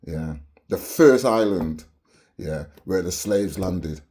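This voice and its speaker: A man's voice: low-pitched, animated, slow, dark tone, slightly rough. A Barbadian man delivering an impassioned, preachy monologue to camera, peppering his points with rhetorical "yeah?" tags. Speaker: vlogger.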